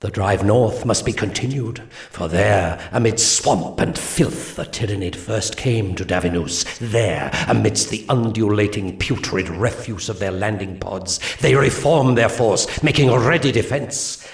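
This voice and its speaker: A man's voice: lowish voice, a little slow, vocal fry. A man voicing a solemn alien seer commander in a 1999 strategy game, delivering mission briefings in a grave, ominous, formal manner. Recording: echoey, distant game cutscene audio.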